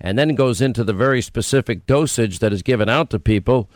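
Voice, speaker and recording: A man hosting a talk radio show, talking in an emphatic, rapid-fire, opinionated way. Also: medium pitch, dry recording, studio quality.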